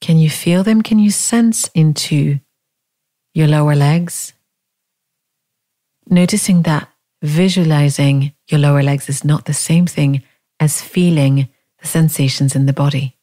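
A woman leading a guided body scan meditation in a calm, gentle, unhurried manner, leaving long pauses. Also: low voice.

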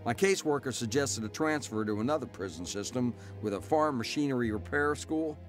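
A man's voice: medium pitch, expressive, a little fast, natural voice. An actor playing a hardened male convict telling his life story in a scripted radio drama. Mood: wry, hard. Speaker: narrator and lead actor. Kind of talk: scripted first-person radio drama narration. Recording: music playing under the voice.

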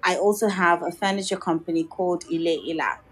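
A woman's voice: fairly low pitch, steady tone, fast, slightly rough. Thoughtful woman with a Yoruba background. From Nigeria. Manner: thoughtful, many restarts and "you know", occasional light laughs. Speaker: guest architect and designer. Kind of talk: reflective interview answers.

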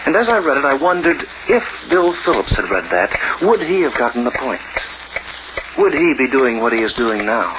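An actor playing a reflective, literary narrator musing in an old-time radio drama. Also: male voice, mid-range voice, sing-song, moderate pace, natural voice. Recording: muffled, noisy old radio recording.

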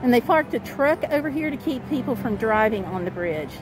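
A woman's voice: fairly high pitch, animated, a little fast, music in the background, rough recording. A middle-aged woman narrating an informal sightseeing tour as a travel vlogger, reading out signs and pointing out sights. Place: outdoors on small-town streets at night. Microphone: handheld camera at arm's length.

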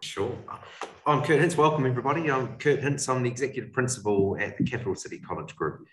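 A man's voice: mid-range voice, quick pace. New Zealand man in a roomy space. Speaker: college executive, guest speaker. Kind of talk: explanatory webinar talk. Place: indoor room, on a video call. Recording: roomy, distant video-call microphone.

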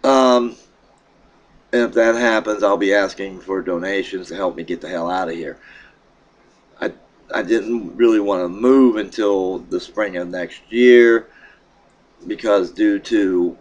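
A middle-aged man with a froggy throat, casually talking to his webcam at home in a rambling, halting way. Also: fairly low pitch, dull audio. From Pennsylvania. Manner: rambling, halting, with many fillers and restarts. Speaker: vlogger. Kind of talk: casual vlog update. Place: small room in a home, indoors. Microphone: webcam at desk.

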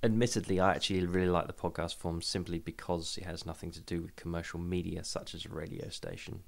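A man's voice: deep voice, flat delivery, fast talker, gravelly. A man co-hosting a podcast in casual discussion, hesitant and often repeating words mid-sentence. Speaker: podcast host.

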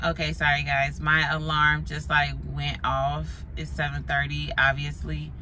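A young woman with a raspy, hoarse voice, a teacher chatting candidly to a phone camera on her dashboard while she drives. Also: sits low, completely flat delivery, measured pace. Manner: candid, chatty, swears casually. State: voice going hoarse. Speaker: teacher vlogging. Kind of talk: casual chat. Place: inside a moving car. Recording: loud road noise from driving.

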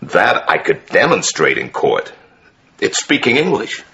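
An actor playing a blunt, wry language expert in a radio drama, with a casual way of talking. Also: male voice, low-pitched, wildly swooping pitch, a little slow, bright, forceful tone, heavily acted. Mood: matter-of-fact.

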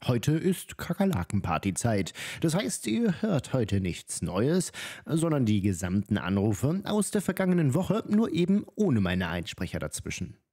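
A man's voice: medium pitch, big pitch swings, unhurried, warm tone. A man introducing his show as host, addressing listeners in a scripted announcing style.

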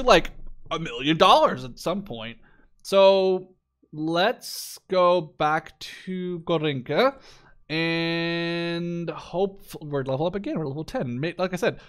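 A man in his twenties with a fairly high-pitched voice talks over a video game. He rambles casually as the host of a let's play, circling back over his own phrases and swearing offhandedly. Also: fairly bright tone. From Netherlands. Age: 20s.

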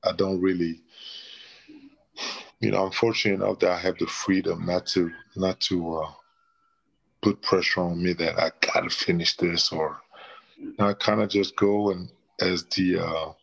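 Deep, flat-toned man from Congo. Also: a little slow, vocal fry, long pauses, husky, dull audio. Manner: thoughtful, with many restarts and fillers. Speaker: painter and former basketball player. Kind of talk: remote interview answers. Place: small plain room indoors.

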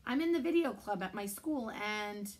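A woman's voice: lively intonation, normal speed, lightly acted. A woman voicing a nervous, eager teenage girl who babbles and hesitates. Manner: babbling, hesitant, high and bright.

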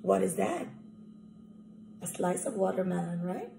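A young woman teacher leading an interactive picture-book read-aloud, animated and asking children questions directly. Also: medium pitch, unhurried, vocal fry, background noise. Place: small indoor room at home. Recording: echoey room recording.

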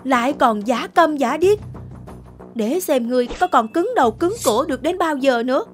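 A woman voicing a brusque, bossy male soldier in an animated folktale, scolding and commanding. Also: sits high, normal speed, soft background music, pitched above the performer's own voice. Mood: impatient, scolding.

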